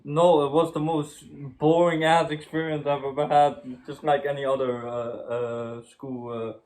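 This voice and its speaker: Young man, halting casual chat. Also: on the high side, little pitch movement, a little mellow, poor audio. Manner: halting, searching for words. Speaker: co-host in a story chat.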